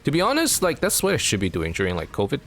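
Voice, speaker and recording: A young man from Canada, streaming a game from home and chatting casually with his viewers in a rambling, filler-heavy way, his pitch jumping up when he gets animated. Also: fast, slight background noise. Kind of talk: casual chat with live chat while gaming.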